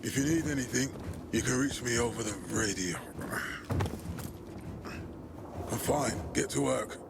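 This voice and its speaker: An actor playing a terse, authoritative military commander in a video game cutscene, giving curt orders. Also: male voice, mid-range voice, fairly quick, creaky, natural voice. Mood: exasperated. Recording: noisy ambience behind the voice.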